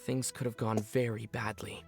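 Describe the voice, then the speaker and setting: An actor playing a thoughtful, serious man in a video game, speaking in a measured, articulate way. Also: on the low side, little pitch movement, creaky, darkish tone. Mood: grave, pondering. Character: thoughtful, grave man piecing together a prophecy. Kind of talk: scripted video game dialogue. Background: game music.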